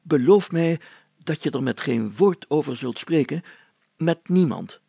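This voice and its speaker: Storybook village mayor, voiced by a male reader: jovial and emphatic, raised husky voice, confiding manner. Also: a little high, expressive, measured pace, mellow, dry recording, pitched above the performer's own voice, heavily acted. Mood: solemn, urgent.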